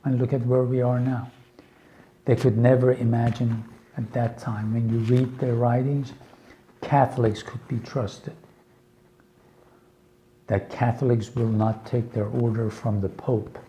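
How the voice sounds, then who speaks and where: A man whose first language is Farsi, giving a slow, earnest lecture for English learners with many pauses and fillers. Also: mid-range voice, little pitch movement, warm tone, a little hiss or hum, low-quality recording. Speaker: teacher.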